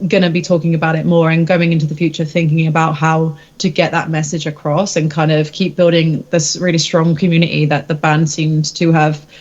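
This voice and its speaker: A woman's voice: low-pitched, little pitch movement, brisk, few pauses, a little mellow, lo-fi sound. A woman singer-songwriter chatting casually in an interview, candid and hesitant as she thinks aloud.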